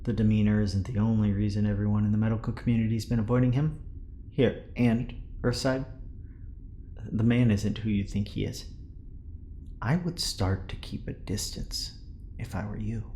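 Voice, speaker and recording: A man playing a guarded man giving cryptic, hushed warnings in an audio drama. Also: on the low side, fairly even intonation, moderate pace, slight background noise, lightly acted. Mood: quiet warning.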